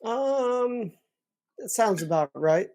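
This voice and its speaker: A man's voice: very high voice, lively intonation, takes it slowly, a little mellow. A man co-hosting a podcast, chatting casually with short reactions and questions. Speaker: podcast co-host.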